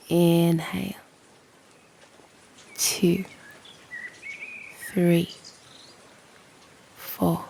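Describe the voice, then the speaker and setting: Female British meditation guide: mellow, breathy, low-pitched, unhurried and soothing. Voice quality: breathy, often near a whisper. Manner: soothing, unhurried, long pauses between short phrases. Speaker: meditation guide and channel host. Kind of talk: slow guided yoga nidra meditation. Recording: faint background noise with birdsong. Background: birdsong and soft nature ambience.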